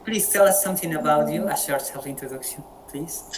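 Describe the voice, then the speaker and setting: Colombian man moderating, halting and repetitive. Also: fairly high pitch, slight background noise. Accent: Colombian Spanish. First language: Spanish. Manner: friendly, halting with frequent word repeats. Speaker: meeting moderator. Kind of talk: casual online group chat. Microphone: video call.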